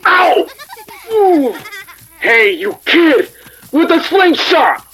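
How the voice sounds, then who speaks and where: An actor playing a brutal Spanish tax collector in a radio drama, snarling and taunting. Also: male voice, very high voice, big pitch swings, very slow, fairly bright tone, exaggerated voice. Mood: menacing anger.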